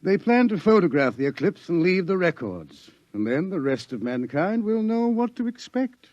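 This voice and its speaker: A hesitant, grave professor. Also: male voice, high-pitched, sing-song, moderate pace, a little mellow, no echo, highs cut off. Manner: hesitant, explaining. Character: male professor, scientist, wry and grave. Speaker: scientist. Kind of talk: 1950s radio drama acting.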